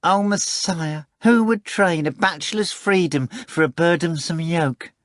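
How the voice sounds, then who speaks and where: A man voicing a boastful, excitable male cat who talks in a shrill, theatrical rush. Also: measured pace, no echo, clean studio sound. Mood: pleading. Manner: shrill, breathless, pleading and theatrical.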